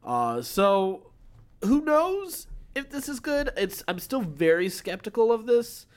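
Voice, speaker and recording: A man's voice: sits high, big pitch swings, slow. A man co-hosting a podcast, chatting casually about film news. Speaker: podcast co-host.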